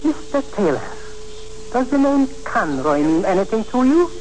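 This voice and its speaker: An actor playing a scheming fortune teller and smooth blackmailer in 1940s radio drama, speaking in an ornate, precise, formal way. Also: male voice, high-pitched, sing-song, normal speed, a little mellow, rough recording. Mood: insinuating, smooth. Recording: hissy 1940s radio broadcast with hum.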